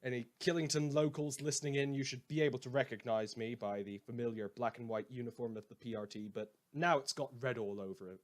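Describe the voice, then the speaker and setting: A man's voice: mid-range voice, fast, hardly any pauses, natural voice. A man playing a sardonic, mock-grandiose radio host turned self-appointed lawman in a scripted audio drama monologue, rambling and repeating himself. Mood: wry, smug. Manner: sarcastic, rambling, mock-grandiose, hesitant repetitions.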